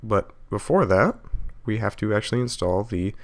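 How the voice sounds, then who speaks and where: A man narrating a software tutorial casually, explaining step by step in an informal way and correcting himself as he goes. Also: fairly low pitch, expressive, normal speed. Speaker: video tutorial host.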